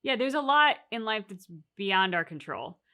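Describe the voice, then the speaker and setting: Bright-voiced woman, reflective. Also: medium pitch, lively intonation, normal speed, clean tone. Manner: reflective, explains at length with examples. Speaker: reaction channel co-host. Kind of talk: casual discussion of a video game story.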